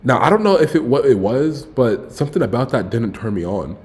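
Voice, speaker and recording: A man's voice: mid-range voice, sing-song, a little fast, a little mellow. A man in his early twenties telling a rambling story to his stream viewers in a casual, slangy way, often correcting himself and tossing in "bro.". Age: 20s. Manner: laid-back, frequent "bro" asides.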